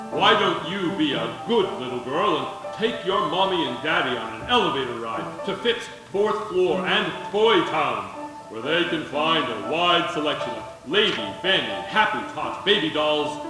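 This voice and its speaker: Radio ad lead: man as avuncular Santa pitchman, hearty delivery, roomy stage, music underneath. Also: lively intonation, unhurried. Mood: jovial, salesy. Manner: hearty, salesy. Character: jovial department-store Santa Claus, avuncular salesman. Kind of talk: 1940s radio commercial acting. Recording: echoey hall, distant, music behind.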